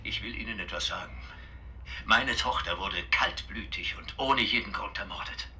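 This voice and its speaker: A man's voice: fairly low pitch, moderate pace, a little hiss or hum. An actor playing a middle-aged, bitter father grieving his murdered daughter, speaking with anguish in a radio drama. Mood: bitter grief. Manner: forceful, anguished. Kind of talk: radio drama, emotional TV interview statement.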